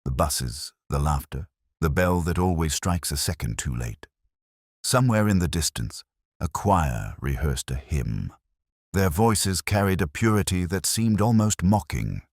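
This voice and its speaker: Male narrator for literary detective fiction: very deep, husky, brooding and measured, recorded dry and close. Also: lively intonation, darkish tone, no room sound. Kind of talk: scripted first-person detective story narration.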